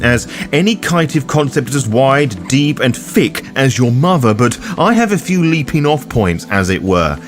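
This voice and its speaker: A man hosting a roleplaying guide, reading scripted explanatory narration in a theatrical, cheeky manner. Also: mid-range voice. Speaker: host of a tabletop roleplaying guide.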